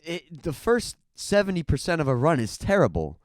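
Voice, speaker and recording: A man's voice: a little high, huge pitch swings, moderate pace, no echo. A young man co-hosting a podcast in casual chat, with dry, teasing humor. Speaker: podcast co-host.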